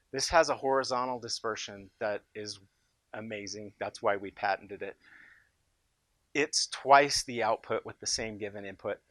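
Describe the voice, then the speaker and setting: Low-voiced American man, informal product pitch. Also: a bit bright, no echo. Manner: enthusiastic, emphatic with superlatives. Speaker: company founder presenting his loudspeaker in a product demo.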